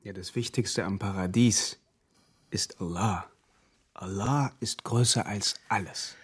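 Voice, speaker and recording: A man playing a devout, nervous refugee in a radio crime drama, speaking earnestly in terse, halting, simple sentences. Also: fairly low pitch, husky. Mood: solemn.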